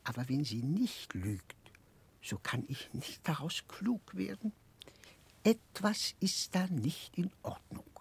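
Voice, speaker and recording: A childlike, muddled gentleman in a 1960s German radio drama. He confides in a very husky, breathy half-whisper. His halting, conspiratorial speech moves slowly and keeps losing its thread, then swings up and down in pitch. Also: very animated, full character voice. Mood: confused, hushed. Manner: halting, confused, conspiratorial, self-correcting.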